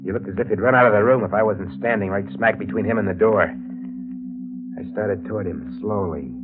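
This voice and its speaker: An actor playing a greedy, menacing killer with blunt, slangy delivery, in 1940s radio drama style. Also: male voice, on the low side, fairly quick, lightly acted. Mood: tense narration. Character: brash, greedy, menacing male killer narrating his crime. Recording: muffled vintage radio recording with music.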